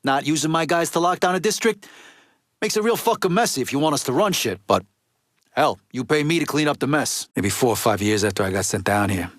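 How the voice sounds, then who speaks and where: A man's voice: fairly low pitch, dry recording, studio quality, close to an everyday voice. A man playing a tough, resentful middle-aged Italian-American mobster in a video game, with an East Coast city American accent and a blunt, streetwise way of talking. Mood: businesslike, then low, grave. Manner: blunt, profane, streetwise wiseguy talk. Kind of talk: video game character dialogue.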